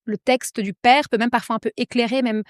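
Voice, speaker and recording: A woman's voice: fairly high pitch, fairly even intonation, brisk, dry recording. Woman, guest author, lots of ums and restarts, dry close sound. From Belgium. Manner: hesitant, many fillers and restarts. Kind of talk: casual interview conversation.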